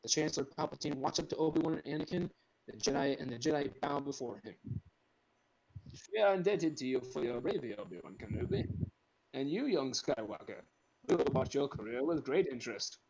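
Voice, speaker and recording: A man's voice: medium pitch, animated, brisk, gravelly, darkish tone, rough recording. A man reading screenplay directions aloud as the narrator of a group script reading.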